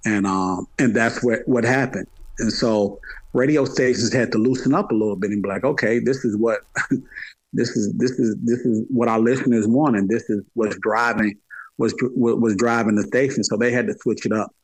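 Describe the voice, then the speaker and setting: A man's voice: on the low side, fairly even intonation, normal speed, dry recording, poor audio. A middle-aged man chatting casually in an interview, repeating phrases for emphasis. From Atlanta, United States. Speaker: podcast guest, hip hop podcaster.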